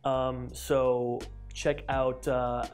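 A man's voice: mid-range voice, little pitch movement, very slow, darkish tone, faint music behind. A man in his thirties hosting an interview, talking energetically with many fillers and restarts, on a lapel mic in a glass-walled office. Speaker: host. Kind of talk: enthusiastic interview intro.

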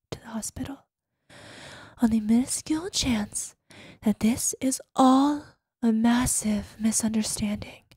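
A woman's voice: high voice, a little mellow, dry recording, clean studio sound. A woman voicing an obsessive, unstable yandere girlfriend in a dramatic ASMR roleplay, sweet and intense with cloying pet names. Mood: menacing.

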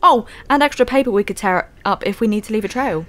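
A woman's voice: a little high, fast, lightly acted. A woman playing a young expedition pilot in an audio drama, earnest, practical and uneasy.

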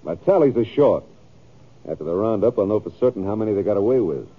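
An American actor playing a stubborn, self-justifying cattle rancher with folksy, rough grammar in a radio western. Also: male voice, fairly low pitch, animated, quick pace. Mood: insistent. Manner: folksy, ungrammatical. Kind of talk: 1950s radio western acting. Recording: thin, phone-like old radio recording.

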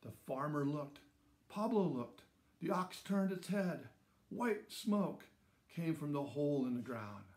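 A man in his sixties reading a children's story aloud in simple, measured phrases with dramatic emphasis. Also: on the high side, expressive, darkish tone. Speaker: storybook reader. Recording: roomy echo around the voice.

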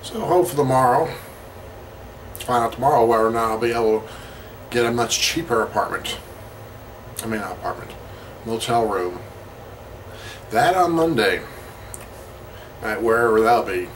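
A middle-aged man talking in a casual, rambling video diary, halting and pausing mid-sentence. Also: medium pitch, recorded from a distance, a little hiss or hum, poor audio. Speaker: road-trip vlogger. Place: parked vehicle cabin, later a small motel room. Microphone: camera at about arm's length.

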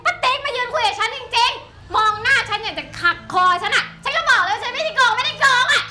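A woman's voice: extremely high pitch, lively intonation, fast talker, very noisy. A female performer playing a scared young woman swindler, whiny and defensive, in a skit. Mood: panicky. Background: music.

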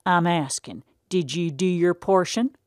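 A stern old country farmer, as a woman narrator puts him on, talking in plain folksy speech. He is raised and firm, louder than the reading voice. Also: fairly low pitch, measured pace, no echo, clean studio sound, voice pushed up, lightly acted.

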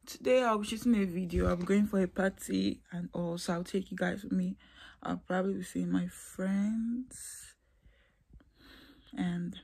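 A woman's voice: medium pitch, vocal fry, warm tone, breathy edge. A young woman vlogging on the move, talking straight to her viewers and filming herself with a phone at arm's length. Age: young adult. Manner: chatty, addresses viewers directly. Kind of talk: casual vlog chat. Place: on the move, streets, car, metro and mall. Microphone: phone held at arm's length.

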